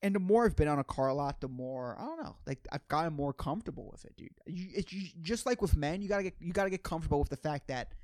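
A man's voice: fairly high pitch, a little mellow, no room sound. A man hosting a podcast, talking in a casual, rambling, slangy and profane comedic monologue, often repeating phrases for emphasis. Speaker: podcast host.

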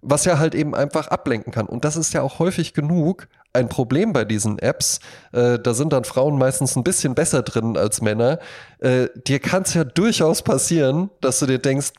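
A man's voice: mid-range voice, expressive, normal speed, darkish tone. A man chatting casually as a podcast co-host, joking and acting out little quotes as he explains things. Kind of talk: casual conversational chat.

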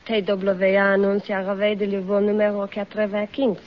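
A woman's voice: medium pitch, monotone, a little fast. Woman contestant on muffled old radio. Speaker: quiz contestant, airline announcer. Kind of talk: answering interview questions. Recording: muffled old radio, faint noise, audience.

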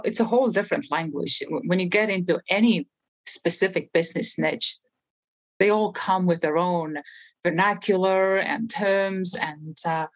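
A woman in her forties with an Estonian accent and a low voice talks as a podcast guest. She answers earnestly and thoughtfully, and she often restarts her sentences as she goes. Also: rough recording. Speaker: guest, real estate trainer. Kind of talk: podcast interview conversation.

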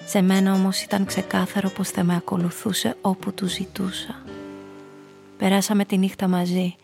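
An actress playing a hard-boiled femme fatale who narrates her downfall in a radio drama, in a measured, confessional way. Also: mid-range voice, level tone, gravelly, darkish tone, soft background music, close to an everyday voice. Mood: somber, tense.

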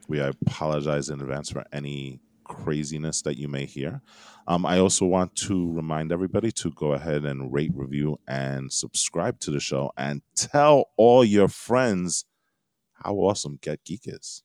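A man's voice: rumbling low, darkish tone, smooth, no room sound. A man in his thirties hosting a geeky podcast, rambling casually with lots of fillers. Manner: laid-back, rambling with fillers. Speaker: podcast host. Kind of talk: casual chat. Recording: dry remote recording.

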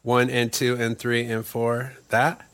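A man's voice: medium pitch, level tone, slow pace. A male podcast host counting in a song in a chatty, casual way. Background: guitar playing loudly.

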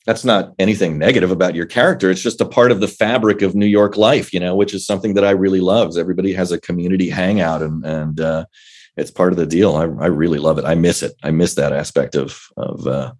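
A man in his forties with a bass voice, a guest actor chatting in a casual interview, rambling with many fillers and restarts. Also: steady tone, fast, a little mellow. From Northern Virginia.

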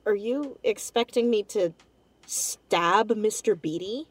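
An actress playing a determined, headstrong young amateur sleuth in a naturalistic audio drama. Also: female voice, medium pitch, slow pace, no echo. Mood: soft, reassuring.

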